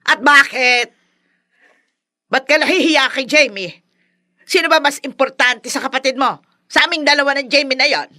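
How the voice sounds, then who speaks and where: A woman's voice: sits high, normal speed, long pauses, bright, forceful tone. An actress playing a possessive, manipulative mother of grown sons, nagging and imperious, with a Filipino accent in a radio drama. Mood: indignant, bossy.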